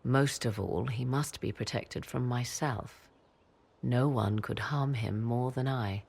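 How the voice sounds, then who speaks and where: An actress playing an aloof, mysterious sorceress and protective mother in a video game, speaking in measured, archaic, theatrical phrases. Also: a bass voice, a little mellow, heavily acted. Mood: brooding, solemn. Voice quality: husky, creaky. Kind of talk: dramatic video game dialogue.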